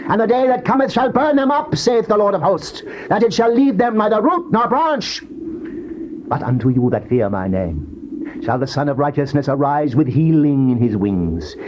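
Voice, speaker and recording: A stern, solemn divine judge declaims in a fairly high voice with sweeping, dramatic rises and falls. The delivery is classical staged scripture, on a muffled, clearly noisy old cassette. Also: male voice. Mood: fiery, foreboding, then solemn, exalted. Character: stern God of Israel speaking judgment through his prophet.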